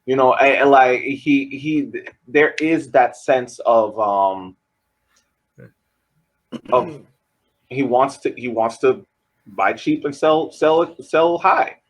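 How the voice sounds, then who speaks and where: A man's voice: mid-range voice, slow, pauses often, rough recording. A man co-hosting a casual sports podcast, talking through an argument with hesitant restarts. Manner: hesitant, restarts often while building an argument. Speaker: podcast co-host.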